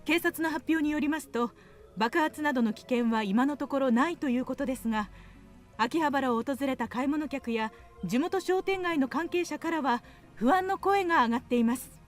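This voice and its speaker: A woman playing a television news reporter in a visual novel, with formal, measured announcer delivery. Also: high-pitched, soft background music, lightly acted. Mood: formal, brisk.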